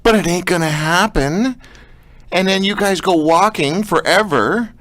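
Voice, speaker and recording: A man's voice: high-pitched, a little slow, fairly bright tone, no echo. A man hosting a radio interview, blunt and playful with his questions. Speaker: radio host.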